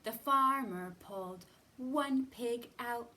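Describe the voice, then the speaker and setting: A woman hosting a children's storytime, reading and counting in an expressive, sing-song way with drawn-out words. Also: a little high. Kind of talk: expressive read-aloud and counting for young children. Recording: somewhat distant from the mic.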